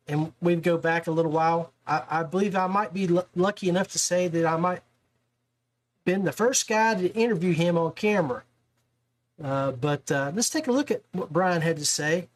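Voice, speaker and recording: A middle-aged man hosting a podcast, talking in an informal, rambling, joshing way. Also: a little high. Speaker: podcast host. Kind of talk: casual interview.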